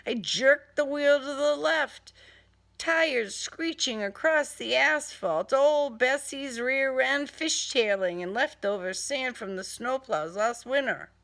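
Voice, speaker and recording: A woman's voice: high-pitched, slow, fairly bright tone. A woman reading a crime story in a dramatic first-person style, with a sardonic, theatrical delivery. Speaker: author reading own crime novel.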